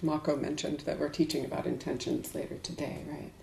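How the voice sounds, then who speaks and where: A woman giving a dharma talk to a group as a Buddhist teacher, speaking in a measured, deliberate way with emphatic pauses. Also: low-pitched, steady tone, vocal fry, darkish tone. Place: indoors in a room. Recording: echoey room.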